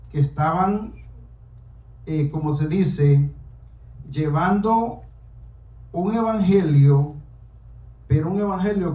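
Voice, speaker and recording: A man preaching to a small congregation in a halting, deliberate way, explaining scripture as he goes. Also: sits high, dark tone. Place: small church during a service. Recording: roomy, echoing hall, distant microphone.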